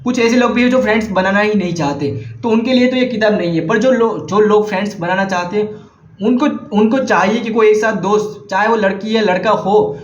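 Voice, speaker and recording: A man's voice: high voice, brisk. A young man from Gujarat with a Gujarati accent, whose first language is Gujarati, casually recommending books in a vlog, hesitant and earnest, often restarting his sentences. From Gujarat, India. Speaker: vlogger. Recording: echoey, slightly muffled room recording.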